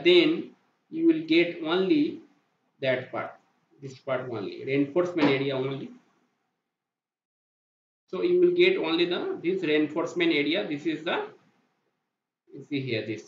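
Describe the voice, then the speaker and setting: A man teaching a welding calculation on a digital whiteboard, slowly asking and answering his own questions. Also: on the high side. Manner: slow, repetitive, asks and answers own questions. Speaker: welding inspection instructor. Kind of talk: whiteboard math tutorial. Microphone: voice-over to screen drawing. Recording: roomy, dull lo-fi voice-over.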